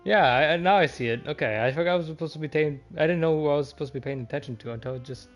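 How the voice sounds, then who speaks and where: A man livestreaming a game with casual, halting commentary as he thinks aloud. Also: fairly high pitch, highs cut off, soft background music.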